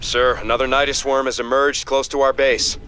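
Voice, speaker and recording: An actor playing an anxious, deferential military subordinate in a video game, briskly reporting threats. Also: male voice, mid-range voice, little pitch movement, background noise, lightly acted. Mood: urgent report. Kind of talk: video game briefing dialogue.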